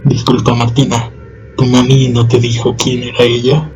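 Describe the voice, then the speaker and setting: An actor playing a radio show host who asks a caller calm, probing questions. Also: male voice, mid-range voice, normal speed, faint music behind, lightly acted, poor audio. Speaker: radio host taking a call. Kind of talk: radio drama acting.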